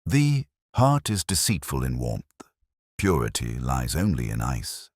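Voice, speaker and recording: Very deep-voiced man narrating a mystery. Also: huge pitch swings, breathy edge, dry recording, clean studio sound. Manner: measured, literary, reflective delivery. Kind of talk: scripted first-person audio drama narration.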